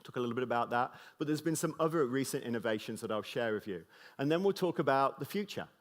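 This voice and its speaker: A man's voice: mid-range voice, expressive, fast talker. A middle-aged British man with a slight mid-Atlantic tinge gives a conference keynote to a live audience. He jokes loosely and fills his talk with fillers and restarts. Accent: British English with a slight mid-Atlantic tinge. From Britain.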